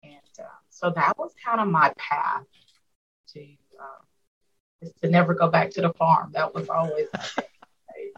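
A woman's voice: low-pitched, moderate pace, husky, lo-fi sound. A woman in her fifties from Arkansas, a school superintendent reflecting on her life in a casual interview, with lots of fillers. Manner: warm, reflective storyteller with frequent fillers. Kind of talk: casual interview over a call.

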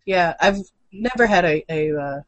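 High-pitched man in casual host chat. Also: lively intonation, normal speed, no echo. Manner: informal, mildly profane. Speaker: show host. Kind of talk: casual co-hosted chat about gaming and comics news.

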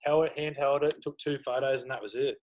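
A man's voice: mid-range voice, fairly quick, a little mellow. A man, chatting casually as a podcast guest, rambling with lots of fillers. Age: 30s. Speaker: guest photographer.

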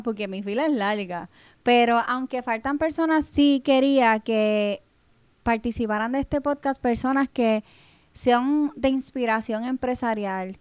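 A woman's voice: on the high side, clean tone, no room sound. A woman hosting a conversational podcast interview, rephrasing as she goes. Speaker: podcast host.

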